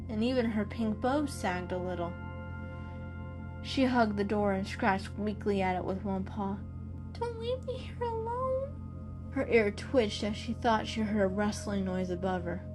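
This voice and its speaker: A woman narrator giving a scripted, dramatic reading of a storybook. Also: mid-range voice.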